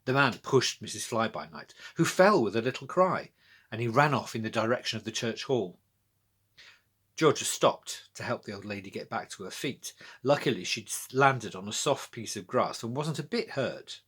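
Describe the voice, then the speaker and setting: A man's voice: mid-range voice, expressive, normal speed, a bit bright, slightly rough. A British man narrating a scripted children's storybook as its author, reading aloud.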